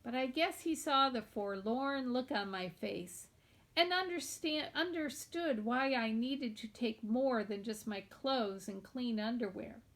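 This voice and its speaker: A woman's voice: fairly high pitch, measured pace. A woman reads a children's novel aloud, narrating expressively in the first person as a young girl.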